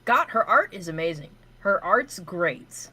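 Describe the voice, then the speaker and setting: A woman co-hosting a livestream with casual banter and commentary, animated, blunt and sweary. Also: fairly low pitch, measured pace, over a noisy crowd. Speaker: co-host of a livestream.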